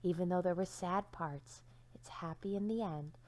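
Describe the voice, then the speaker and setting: Lady teacher reading a picture book to little kids, lowish voice, expressive and dramatic, goes hushed at times. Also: normal speed. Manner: dramatic, with hushed phrases and questions to listeners. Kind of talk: expressive storybook read-aloud for young children.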